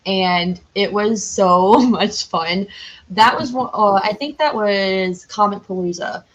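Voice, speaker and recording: A woman's voice: mid-range voice, takes it slowly, muffled recording. A young adult American woman chatting casually as a podcast guest, telling stories in a giggly way. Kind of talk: casual chat.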